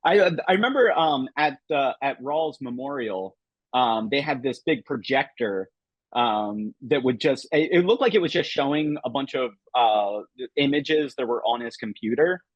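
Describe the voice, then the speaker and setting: A man's voice: mid-range voice, expressive, moderate pace, no room sound, lo-fi sound. A man hosting a casual roundtable discussion, talking enthusiastically with many fillers. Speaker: host.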